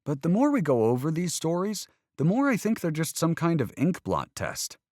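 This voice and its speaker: A questioning young boy with a raised, loud voice that pushes back on every claim, as a man acts him out in a storybook reading. His pitch swings up and down as he argues. The sound is dry and close. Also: huge pitch swings, moderate pace, no echo. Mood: argumentative. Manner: skeptical, argumentative. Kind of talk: audiobook character voice.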